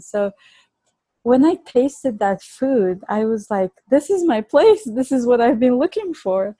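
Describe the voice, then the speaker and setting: Mellow-voiced Bulgarian-accented woman. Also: mid-range voice, dry recording. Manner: earnest, laughs easily, restarts words. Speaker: guest. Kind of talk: conversational storytelling.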